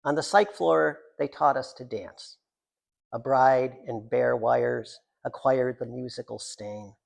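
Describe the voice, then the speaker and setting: A man's voice: medium pitch, steady tone, slow, vocal fry. A man, a writer, reading his own work aloud at a live literary reading, with rambling, self-deprecating, jokey asides.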